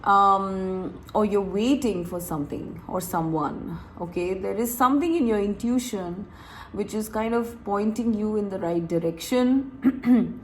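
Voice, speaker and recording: A woman recovering from a cold, reading tarot to her viewers in a chatty, relaxed way, laughing and clearing her throat often. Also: medium pitch, a little slow, slight background noise, rough recording. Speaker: tarot reader. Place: room with door open, wind chimes outside.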